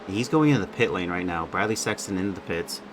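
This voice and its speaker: Low-voiced man commentating over loud noise. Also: lively intonation, quick pace. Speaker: race commentator. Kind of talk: casual sim racing commentary.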